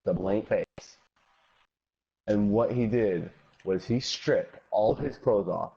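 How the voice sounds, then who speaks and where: A man's voice: on the low side, slow pace, slightly rough. A young man casually telling an anecdote as a guest storyteller, on dull lo-fi audio.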